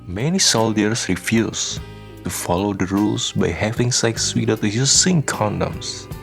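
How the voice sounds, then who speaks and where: A man reading scripted narration for a radio drama, with soft guitar music behind him. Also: fairly low pitch, a little slow, vocal fry.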